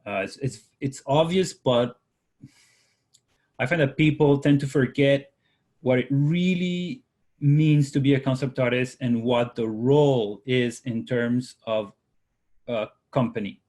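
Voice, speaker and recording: A man's voice: mid-range voice, a little slow. A man in his forties whose first language is Spanish gives a casual online art workshop. He talks in a loose, rambling way, full of ums and restarts. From Latin America. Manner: relaxed, rambling, many fillers and restarts. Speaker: workshop instructor, concept artist.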